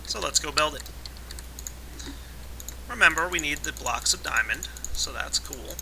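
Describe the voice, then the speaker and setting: A man with a raspy voice today, casually explaining a modded game as its let's play host, with relaxed fillers. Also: fairly high pitch, a little slow, background noise. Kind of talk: casual gameplay tutorial narration.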